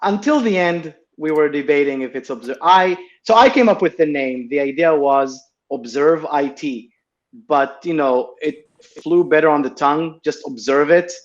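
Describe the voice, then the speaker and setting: A man in his forties from Israel with an Israeli accent, a company founder telling his story in a relaxed interview, with many fillers. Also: on the high side, moderate pace, dull audio. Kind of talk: casual interview chat.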